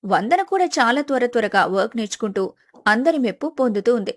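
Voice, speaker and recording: A woman's voice: a little high, lively intonation, brisk. A woman narrating a scripted family story.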